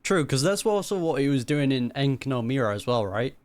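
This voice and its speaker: A man's voice: mid-range voice, big pitch swings, normal speed. A man giving casual, slangy, joking commentary while reacting to a video game. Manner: joking, slangy, laughs easily.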